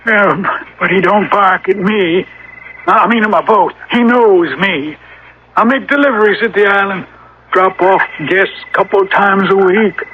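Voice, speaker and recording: A man's voice: sits high, unhurried. An actor playing a folksy, cagey old boatman in a radio drama, with hesitant, rustic phrasing. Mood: chatty, obliging. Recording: muffled, hissy old radio recording.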